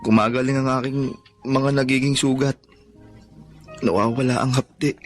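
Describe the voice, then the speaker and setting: A man's voice: medium pitch, fairly even intonation, moderate pace, faint music behind, close to an everyday voice. A Filipino man playing a devoted, long-suffering son in a radio drama, earnest, plaintive and respectful. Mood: hurt, anguished. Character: devoted, suffering, long-abused son who scavenges for junk.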